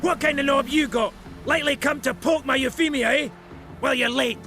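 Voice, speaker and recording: An actor playing a crude, angry cuckolded dwarf in a video game, gravelly and sweary, with music behind him. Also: male voice, very high voice, normal speed, pushed and bright, heavily acted. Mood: furious, ranting. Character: furious drunken-sounding cuckolded male dwarf, crude and bellowing. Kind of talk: video game character acting.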